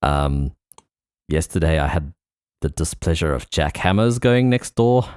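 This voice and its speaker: A man hosts a casual podcast chat. His voice is extremely low, and it is recorded dry with no room sound. He talks in an informal, conversational way. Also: lively intonation, measured pace, a little mellow, clear, resonant tone, no echo. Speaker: podcast host.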